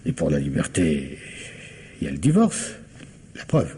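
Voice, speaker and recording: Low-voiced, probing police commissioner. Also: male voice, huge pitch swings, moderate pace, mellow, exaggerated voice. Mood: insistent. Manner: insistent, hesitant feints while questioning. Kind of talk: French radio mystery drama acting. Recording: noisy old radio drama recording.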